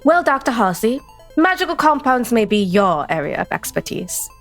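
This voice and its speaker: Scholarly action heroine, played by a woman: middle-pitched, lively intonation, cool superiority, music bed beneath. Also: normal speed. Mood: cool confidence. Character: confident fighting woman scholar, stuffy librarian type.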